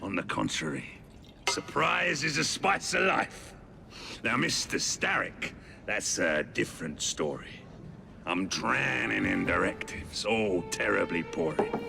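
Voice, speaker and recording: An actor playing a flamboyant, theatrical Victorian gang boss in a video game, gravelly and grandiose, savoring each phrase. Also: male voice, lowish voice, sing-song, a little slow, music in the background, heavily acted. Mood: silky, amused.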